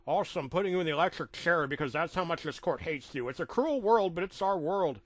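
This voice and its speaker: A man's voice: a little high, expressive, fast talker, a bit bright, dry recording. A man voicing a harsh male judge in a cartoon, pronouncing a cruel verdict pompously.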